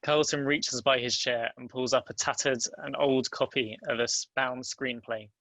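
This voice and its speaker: A man narrating stage directions from a script in a plain, even reading at a table read. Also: medium pitch, moderate pace, dry recording.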